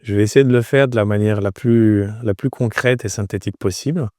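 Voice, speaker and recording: A man in his forties from Romania gives conversational interview answers. His voice is low and soft-edged, recorded close and dry. He often pauses and repeats words as he searches for phrasing. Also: no echo. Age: 40s. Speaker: entrepreneur, interview guest.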